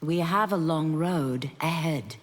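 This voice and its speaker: A woman's voice: deep voice, slow. An actress playing an earnest, solemn warrior woman in a fighting game, speaking formally. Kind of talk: fighting game character banter. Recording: loud background noise, long reverb.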